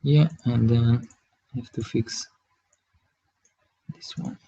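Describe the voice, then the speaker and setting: A man from Hungary, speaking English with a Hungarian accent, talks through a live drawing tutorial, thinking aloud in a halting way as he works. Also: mid-range voice, steady tone, creaky, dark tone, breathy edge, muffled recording. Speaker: digital artist streaming his work.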